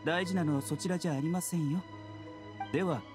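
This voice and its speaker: A man playing a courteous, composed doctor in an anime game, speaking gently and formally. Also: on the high side, steady tone, brisk, over music, lightly acted. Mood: calm. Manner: soft-spoken polite formal phrasing. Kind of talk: Japanese anime game voice acting.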